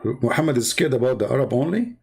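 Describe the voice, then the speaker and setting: A man's voice: mid-range voice, very animated, fast talker, creaky, a little mellow, breathy edge. A man who speaks English as a second language, hosting a mocking commentary on religious texts, sarcastic and emphatic, with rhetorical questions and repeated words. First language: not English. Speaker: host of a religious debate show.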